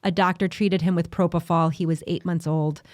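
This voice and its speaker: A woman's voice: lowish voice, steady tone, normal speed, dry recording, studio quality. A middle-aged woman hosting a podcast interview, asking questions in a casual, chatty way and sharing her own stories. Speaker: grief podcast host.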